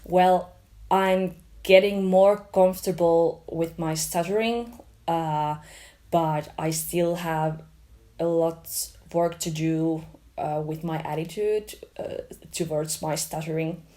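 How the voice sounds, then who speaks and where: A woman talking about her stutter, halting with blocks and "uh" pauses. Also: lowish voice, steady tone, mellow. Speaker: community member sharing experience. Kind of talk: personal testimony to camera.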